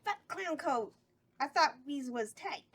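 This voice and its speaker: A woman's voice: high voice, expressive, measured pace, fairly bright tone. A woman reading the part of a scheming, bawdy horror hostess at a table read. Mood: urgent. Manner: brash, bawdy, giggles through lines.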